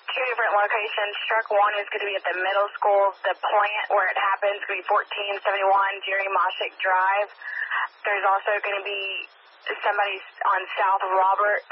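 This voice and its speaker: A woman working as a police dispatcher, passing on information steadily over the radio. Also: mid-range voice. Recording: muffled scanner radio with static.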